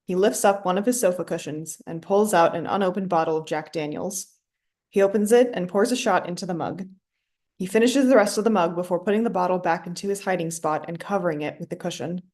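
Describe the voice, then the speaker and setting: A woman's voice: on the low side, a little mellow. A woman reading scripted stage directions as the narrator of a play reading.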